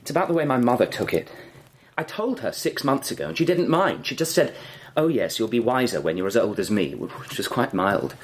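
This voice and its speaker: An actor with an English accent playing an intense, petulant upper-class student in a period radio drama, clipped and impatient. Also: male voice, mid-range voice, animated, fairly quick, close to an everyday voice. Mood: exasperated.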